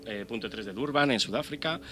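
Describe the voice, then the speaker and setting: A man with an accent from Spain speaking as a radio panelist in a halting, repetitive, conversational way. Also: mid-range voice. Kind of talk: casual radio discussion. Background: music.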